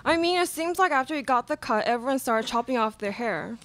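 A woman's voice: high voice, animated, brisk, a bit bright. A young woman playing an upbeat red carpet celebrity interviewer in a live radio theatre show. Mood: flattering, curious. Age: young adult. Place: college classroom stage, indoors. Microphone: stand microphone.

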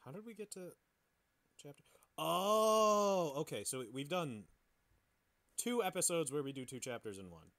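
A man's voice: fairly high pitch, lively intonation, normal speed, long pauses, a bit bright. A man co-hosting a podcast, bantering casually and reading aloud. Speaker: podcast host.

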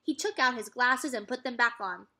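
A young adult woman reads a children's story aloud as a teacher, with expressive, clearly phrased narration. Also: on the high side, moderate pace. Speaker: teacher reading aloud to second graders. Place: furnished room at home, indoors.

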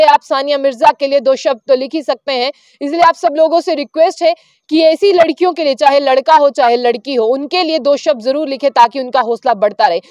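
A woman's voice: sits high, no echo, studio quality. A young woman reporter, speaking to camera outdoors with a handheld mic, reading notes and correcting herself as she goes. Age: young adult. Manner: reads from phone, fluent with fillers and self-corrections. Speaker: news reporter. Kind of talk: piece to camera news report. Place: outdoors under a tree.

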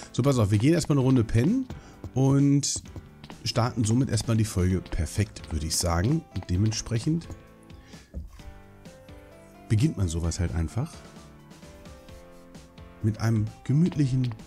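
A man's voice: on the low side, warm tone. A young man with a cold, chatting casually and rambling as he hosts a Minecraft let's play, swearing now and then. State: hoarse from a cold. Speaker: gaming channel host.

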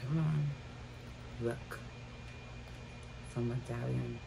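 A woman's voice: a bass voice, fairly even intonation, drawn-out words, vocal fry, background noise. A woman in her fifties chatting casually to viewers from her bedroom about items she bought, calling them y'all. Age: 50s. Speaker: haul vlogger. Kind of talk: casual haul chat. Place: bedroom at home.

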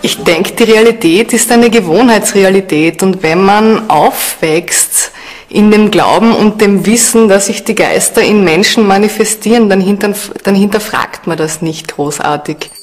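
A woman's voice: medium pitch, measured pace, slight background noise. A woman giving an explanatory answer as an interviewed expert.

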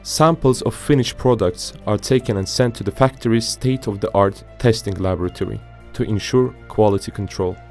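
A man giving a measured, formal scripted narration for an industrial report. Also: on the low side, a little mellow. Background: music bed.

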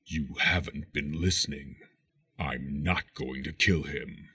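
A man playing a cold, threatening masked TV villain in slow, deliberate words, with electronic processing on the voice. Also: fairly even intonation, sharp tone, exaggerated voice. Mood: cold menace. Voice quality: very deep, processed, rough. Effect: pitch-lowered voice, some with distortion or chorus. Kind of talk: TV supervillain impression.

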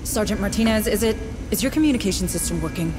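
An earnest woman administrator, played by an actress in a sci-fi game. She is anxious and serious, speaking in a medium register. Clear music plays underneath her voice. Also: medium pitch, lively intonation, quick pace, close to an everyday voice. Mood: earnest, tense. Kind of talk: video game voice acting.

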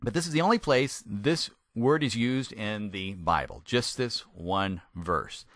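A man, a native English speaker, gives a conversational Bible teaching, putting questions to the listener and stressing words deliberately. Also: fairly low pitch, lively intonation, a bit bright, no room sound, clean studio sound. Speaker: Bible teacher, former pastor. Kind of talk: conversational sermon, Bible teaching with scripture reading.

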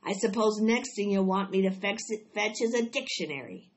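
A woman voicing a sullen, grumbling male rat in a storybook, gruff and blunt. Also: medium pitch, lively intonation, moderate pace, highs cut off, close to an everyday voice. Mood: indignant, grumbling.

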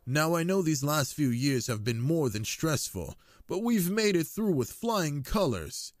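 Man acting flirtatious wine god, genial, smooth-talking, expressive swooping delivery, intimate audio drama. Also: on the high side, a little slow, dry recording. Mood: celebratory.

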